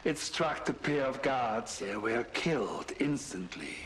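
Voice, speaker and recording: Video game villain: male actor as sadistic German mad scientist, middle-aged, obsessed. Deliberate pace, widely swinging pitch. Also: expressive, noisy background, natural voice. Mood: grave, awed. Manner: theatrical, grandiose, menacing, deliberate. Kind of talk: dramatic monologue in a video game cutscene.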